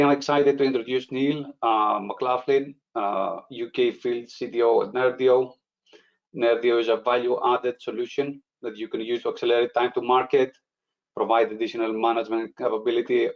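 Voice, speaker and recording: Man, webinar host, halting delivery, frequent fillers, muffled audio. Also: medium pitch, flat delivery. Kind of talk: webinar presentation.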